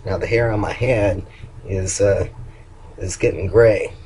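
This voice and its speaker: An older man talking in a very deep voice that turns a little nasal at times and sounds hoarse. He speaks haltingly, with many ums, pauses and restarts. A faint room ambience sits behind him. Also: fairly even intonation, a little mellow, a little hiss or hum, poor audio. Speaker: vlogger. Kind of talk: casual personal video update.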